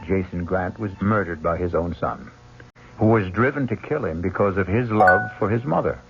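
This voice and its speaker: A low-voiced man with a gravelly creak narrates as host of a radio mystery. His delivery is dramatic and scripted, over clear music in a muffled old broadcast. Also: normal speed.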